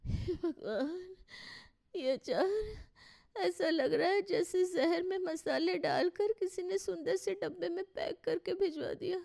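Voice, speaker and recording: A woman's voice: very high-pitched, takes it slowly, vocal fry, a little mellow, voice pushed up, heavily acted. A woman voicing a stubborn middle-aged mother in a cartoon story, querulous and dramatic. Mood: wailing misery.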